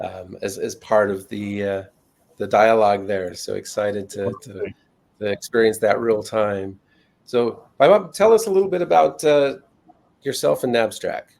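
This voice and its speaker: A man's voice: fairly low pitch, unhurried, creaky, darkish tone. A man hosting an online interview in a friendly, loose way, with many fillers. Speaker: host.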